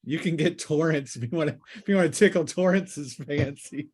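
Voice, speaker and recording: A man with a somewhat higher-pitched voice runs a tabletop game. He narrates casually, feeling his way through each scene as he describes it. His tone is that of a game master calling turns and outcomes. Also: normal speed, a little mellow, slightly rough.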